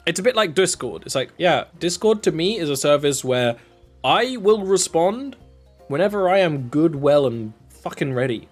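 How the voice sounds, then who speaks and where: A man's voice: animated, moderate pace, soft background music. A young British man with a southern English accent, rambling casually while he streams a video game. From England. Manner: rambling, chatty, with sudden jumps in pitch. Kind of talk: casual gameplay commentary.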